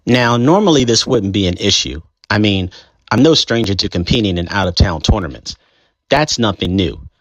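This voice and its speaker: A man reading a first-person chapter book aloud in a conversational, expressive way. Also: lowish voice, dry recording. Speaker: storybook reader.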